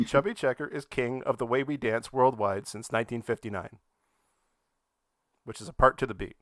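A man's voice: medium pitch, moderate pace, a bit bright, no echo. A man on a podcast panel, chatting casually and reading aloud for comedy.

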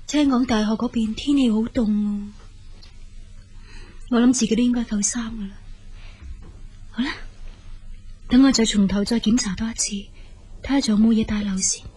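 High-voiced, husky younger sister. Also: female voice, moderate pace, long pauses, dark tone. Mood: matter-of-fact. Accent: Hong Kong Cantonese. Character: regretful, grateful younger sister leaving for university. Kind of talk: radio drama acting.

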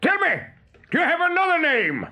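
Bellowing, villainous pirate captain. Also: male voice, extremely high pitch, expressive, pitched above the performer's own voice, exaggerated voice. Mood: commanding, furious. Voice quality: hoarse when shouting. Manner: pompous, theatrical, drawn-out words. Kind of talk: dramatized children's storybook reading. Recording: music behind.

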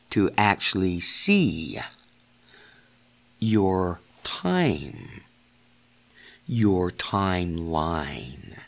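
A man with a low, gravelly voice leads a guided imagery meditation. He speaks extremely slowly, in deliberate phrases broken by halts, and his pitch moves up and down widely. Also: fairly bright tone. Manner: deliberate, halting phrasing with repeated instructions.

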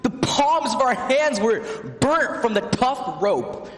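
A man's voice: extremely high pitch, animated, heavily acted. A young man performing a dramatic stage monologue as a grieving, guilt-ridden fisherman disciple, theatrical and emotional. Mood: wistful nostalgia. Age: teenager or young adult. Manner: theatrical, emotional, long pauses with swells into shouting. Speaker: monologue performer in a talent competition. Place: large indoor hall, live on stage. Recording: echoey large hall, long reverb.

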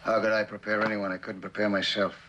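An American man in middle age playing an earnest, conscience-stricken company man in a 1960s TV drama, speaking haltingly and soberly. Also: fairly low pitch, little pitch movement, lo-fi sound, noisy background, close to an everyday voice. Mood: weary, resolute. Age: middle-aged. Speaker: actor playing a witness. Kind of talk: 1960s television drama acting.

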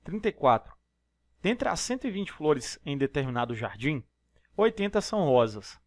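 Brazilian male math tutor, slightly high voice, dry close voice-over, patient step-by-step explainer. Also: very animated, normal speed, a little mellow, no room sound. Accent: Brazilian Portuguese. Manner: explanatory, step-by-step, frequent tag questions. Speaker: math teacher. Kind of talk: exam problem walkthrough. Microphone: voice-over on screen recording.

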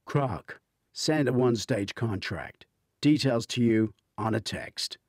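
Husky, terse special-ops commander, voiced by a man. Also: mid-range voice, slow pace, dry recording, clean studio sound. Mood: brisk orders. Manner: terse, authoritative, matter-of-fact. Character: brisk, commanding male special-ops team leader.

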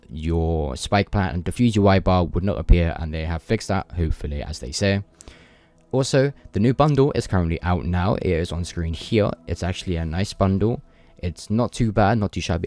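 Deep-voiced young man narrating game updates. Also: a little mellow. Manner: informal, loosely worded. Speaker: gaming video host. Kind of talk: casual patch-notes narration.

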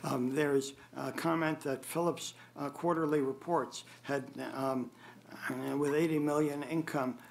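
An older man giving formal, unscripted testimony at a public hearing, speaking in a halting, hesitant way with frequent pauses. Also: on the high side, fairly even intonation, gravelly. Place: government board meeting room, indoors. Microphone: gooseneck table microphone.

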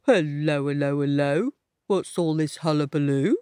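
A woman's voice: sits low, normal speed, mellow, slight background noise, voice pushed down, close to an everyday voice. A woman voicing a vain, cheery male donkey in a storybook, jovial and bossy, full of exclamations. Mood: jovial, enthusiastic. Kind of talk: storybook character voice.

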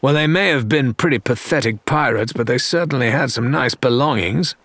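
A man's voice: mid-range voice, moderate pace, a bit bright, no echo, pitched above the performer's own voice. A man voicing an argumentative, sardonic pirate crewman in an audiobook, with a mocking, eager manner. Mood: smug. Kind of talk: audiobook character dialogue.

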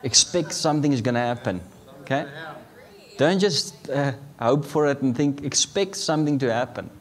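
South African preacher, chuckling and emphatic. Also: male voice, mid-range voice, normal speed. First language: Afrikaans. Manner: chuckling often, building to emphatic declarations. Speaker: guest preacher. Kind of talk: sermon. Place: large church sanctuary, indoors. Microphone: handheld microphone.

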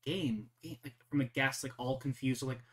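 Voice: medium pitch, level tone, a bit bright. Casual game master with a mid-range voice. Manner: casual, halting narration. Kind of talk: improvised narration of an online roleplay game. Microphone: voice call.

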